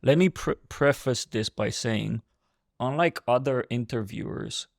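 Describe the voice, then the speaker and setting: Gravelly-voiced man hosting a podcast. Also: medium pitch, a little slow, no echo, clean studio sound. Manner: friendly, laughs often, asks open questions. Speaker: podcast host. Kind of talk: casual interview chat.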